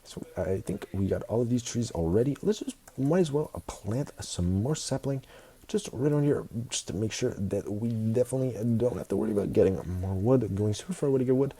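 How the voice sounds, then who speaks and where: A young man with a stuffy nose from a cold, casually narrating his building game, excitable and fond of repeating words. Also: mid-range voice, fairly quick, slightly rough. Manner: excitable, repeats words, keeps saying guys. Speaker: gaming video host. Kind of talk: casual Minecraft commentary.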